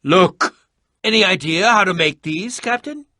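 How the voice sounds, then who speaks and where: A man's voice: sits high, expressive, measured pace, pushed and bright, no room sound, studio quality, heavily acted. A man voicing a pompous, irritable, middle-aged eccentric adventurer and potion maker in a video game, with a dramatic, sardonic, fussy delivery. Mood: excited, theatrical. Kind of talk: animated video game voice acting.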